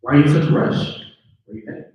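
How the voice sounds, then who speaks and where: A man's voice: fast, a little mellow, highs cut off, lightly acted. Man acting a dramatic suspicious husband, very echoey room. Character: dramatic, paranoid husband suspicious of his new wife. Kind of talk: live script table read, acted. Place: live indoor table read at a mixer event. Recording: echoey room, picked up from far off.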